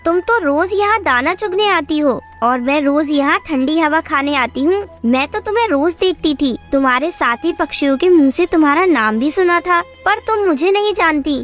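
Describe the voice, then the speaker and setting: A woman plays a wise, magical lady fish from a children's cartoon. The voice is high, gentle and reassuring, with faint music underneath. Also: lively intonation, moderate pace, few pauses. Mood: friendly. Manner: kindly, reassuring. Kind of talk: children's cartoon story acting.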